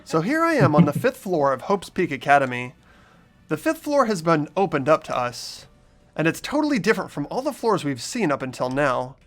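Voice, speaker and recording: A lead player narrates a game story in an expressive, animated way, sliding easily into casual banter. The voice is medium in pitch with lively intonation. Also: brisk.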